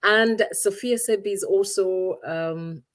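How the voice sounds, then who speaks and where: A woman's voice: mid-range voice, takes it slowly. A middle-aged woman from Uganda, a guest in an interview, answering thoughtfully and searching for her words with frequent restarts.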